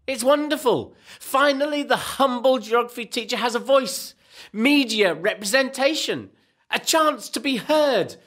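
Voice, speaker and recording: Guy doing a defensive husband, radio play comedy, very animated, a bit evasive and hesitant. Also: very high-pitched, unhurried, exaggerated voice. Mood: indignant, enthusiastic. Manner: protesting, hesitant when cornered.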